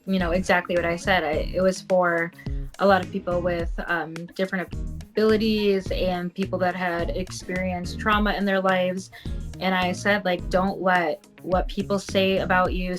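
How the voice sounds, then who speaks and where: Young woman chatting on a video call, upbeat, a bit low-pitched, soft music behind. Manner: upbeat, frequent agreeing murmurs. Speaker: pageant contestant guest. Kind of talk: casual interview chat. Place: remote interview over video call. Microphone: video call mic.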